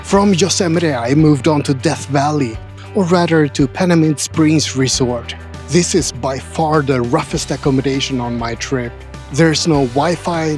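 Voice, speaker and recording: A man narrates a scripted travel video in a voice that sits a little high. His humor is dry, wry and a touch grumpy. Faint music plays underneath. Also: darkish tone. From Sweden. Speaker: travel vlogger.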